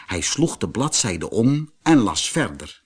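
A man's voice: fairly low pitch, sing-song, a little slow, slightly rough. A man with a Netherlands Dutch accent reading a scripted children's fairy tale as an audiobook narrator.

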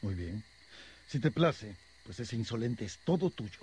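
An actor playing a powerful, calculating cardinal in a radio drama, composed and commanding. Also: male voice, lowish voice, big pitch swings, unhurried, a little mellow. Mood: cool, scheming.

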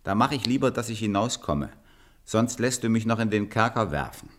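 A man's voice: on the low side, lively intonation, normal speed, lightly acted. A man playing an impudent, mocking jester in a theatrical fairy-tale radio play. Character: cheeky, irreverent Kasper puppet-show jester, married man.

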